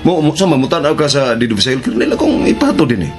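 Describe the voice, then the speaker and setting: A man's voice: mid-range voice, very animated, quick pace, close to an everyday voice. A man playing someone under questioning who explains himself, in a Cebuano Filipino radio drama. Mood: explaining. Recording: radio drama with music behind.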